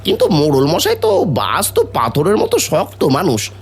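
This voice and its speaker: A man playing a sly, vengeful village troublemaker in an animated story, conspiratorial and wheedling. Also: a little high, moderate pace, gravelly, faint music behind, heavily acted. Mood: plotting.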